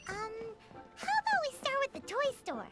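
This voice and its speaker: A woman's voice: very high voice, wildly swooping pitch, normal speed, a bit bright, faint music behind, heavily acted. A woman voicing a small, chirpy, bossy fairy companion in a video game, chatty and cheeky. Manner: chatty, cheeky, refers to self by name. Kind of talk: English game voice acting.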